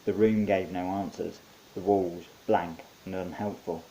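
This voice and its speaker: A man's voice: deep voice, steady tone, unhurried, warm tone, rough recording. A man narrating his own short story in a wry, conversational storytelling manner. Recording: faint background hiss.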